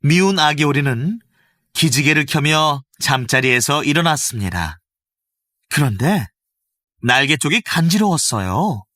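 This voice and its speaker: A male voice actor reads a children's fairy tale in a gentle, expressive storytelling manner. His voice is bright and fairly high for a man, with a lively, swooping melody. The close studio recording is dry. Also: unhurried, no echo. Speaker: voice actor narrator.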